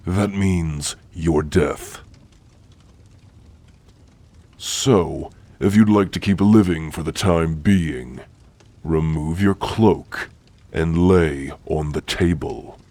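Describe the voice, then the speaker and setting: A man voicing a stern, gruffly caring orc warrior-healer in an ASMR roleplay, speaking in a formal, commanding way. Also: a bass voice, takes it slowly, darkish tone, no echo, heavily acted. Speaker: roleplay voice actor.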